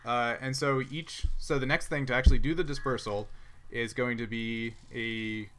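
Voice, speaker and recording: A man acting as game master for a tabletop role-playing game, explaining rules and situations in a halting way. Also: medium pitch, steady tone, a bit bright, slight background noise.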